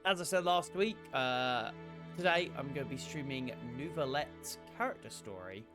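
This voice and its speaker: A man's voice: mid-range voice, expressive, normal speed, over music. A young man chatting casually on stream, laid-back and swearing, his throat sore from a cold. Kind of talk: casual game stream chat.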